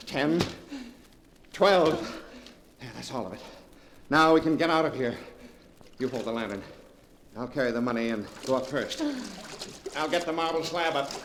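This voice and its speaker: A man's voice: mid-range voice, normal speed, long pauses, close to an everyday voice. Male actor as impatient, grasping husband, vintage radio thriller; curt, theatrical delivery, big echo, light hiss. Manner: brusque, dismissive, theatrical. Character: greedy, impatient, domineering husband in a horror tale. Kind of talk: 1940s radio drama acting. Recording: noisy 1940s radio broadcast, cavernous reverb.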